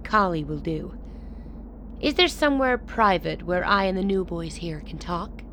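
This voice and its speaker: A woman's voice: fairly low pitch, animated, a little slow, fairly bright tone, a little hiss or hum. A woman playing a powerful political leader in a radio play, wryly amused, probing and self-assured. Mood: wry, teasing.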